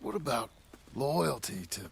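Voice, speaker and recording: Gravelly, doubtful young outlaw. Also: male voice, mid-range voice, animated, unhurried, slight background noise. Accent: American Western. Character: rough younger male outlaw, doubtful family man. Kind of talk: dramatic video game cutscene acting.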